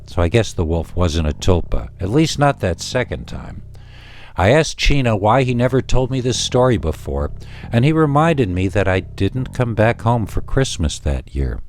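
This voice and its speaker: Low-voiced American man telling scary stories. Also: sing-song, moderate pace, darkish tone, a little hiss or hum. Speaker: podcast host and storyteller. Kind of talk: scripted scary-story narration.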